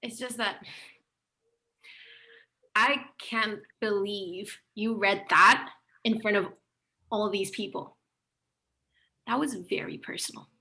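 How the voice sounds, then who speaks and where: A woman reading the part of an anxious, vulnerable woman author at a play table read, speaking softly and hesitantly and breaking into alarmed cries. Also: medium pitch, takes it slowly, gravelly, long pauses, full character voice. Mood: hurt.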